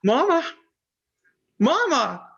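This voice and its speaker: A man playing a naive, devoted son in an old time radio horror play, speaking in a halting, stammering, earnest way. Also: extremely high pitch, big pitch swings, creaky, fairly bright tone, muffled recording, heavily acted. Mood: overjoyed, bewildered. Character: naive, simple, devoted son pining for missing mother.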